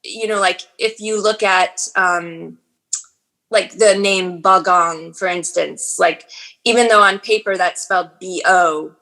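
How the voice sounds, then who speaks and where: A chatty woman in her forties who narrates audiobooks and acts, talking in an easy, casual interview. She often restarts her sentences and fills pauses as she thinks aloud. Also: on the low side, steady tone, poor audio. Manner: chatty, warm, frequent fillers and restarts.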